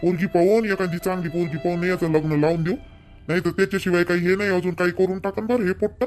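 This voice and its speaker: A man's voice: sits high, fairly even intonation, quick pace, faint music behind, natural voice. A man playing an insistent village uncle in a cartoon, reasoning persuasively in Vidarbha Marathi. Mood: firm, urging.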